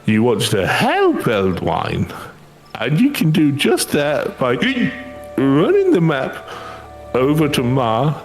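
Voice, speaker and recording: A man's voice: mid-range voice, wildly swooping pitch, takes it slowly, faint music behind, voice pushed up, heavily acted. Man putting on a swooping, rascally treasure hunter. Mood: wheedling. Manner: slangy, theatrical, swooping delivery. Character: shady rough treasure hunter, drunken wheedling braggart. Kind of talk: improvised reading of game dialogue.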